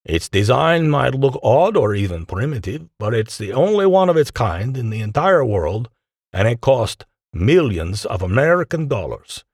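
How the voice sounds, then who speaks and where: A man voicing a proud, courteous senior scientist who speaks in a formal, deliberate way in an audiobook. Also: mid-range voice, sing-song, darkish tone, husky, no echo, clean studio sound. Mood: proud, commanding.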